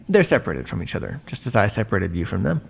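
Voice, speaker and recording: Male actor as vampire scientist captor for audio roleplay: creaky, swooping delivery, smug and theatrical, some hiss on the recording. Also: lowish voice, big pitch swings, full character voice. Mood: dismissive, smug. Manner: smug, measured, teasing, theatrical. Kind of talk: ASMR roleplay monologue addressed to listener.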